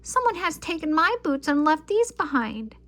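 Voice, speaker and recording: A woman voicing an upset little girl polar bear cub in a high, squeaky, wailing storybook voice. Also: big pitch swings, normal speed, fairly bright tone, heavily acted. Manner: wailing, sputtering.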